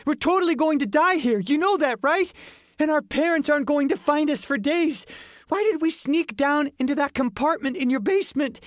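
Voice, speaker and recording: A man voicing a panicky twelve-year-old boy wizard with a squeaky, whiny voice in an audiobook. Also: no room sound, clean studio sound. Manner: rapid, whiny pleading.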